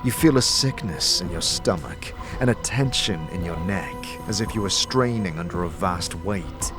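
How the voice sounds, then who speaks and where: Male narrator for fantasy audio drama: lowish voice, very varied intonation, dramatic scripted read. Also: lively intonation, moderate pace, music in the background. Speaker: narrator and lead actor.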